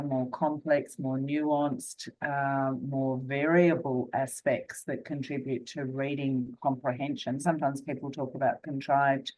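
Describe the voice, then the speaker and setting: A woman's voice: low voice, a little mellow, low-quality recording. A woman academic speaking fluently and emphatically on a panel over a video call. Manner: fluent, emphatic, many fillers and restarts. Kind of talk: panel discussion.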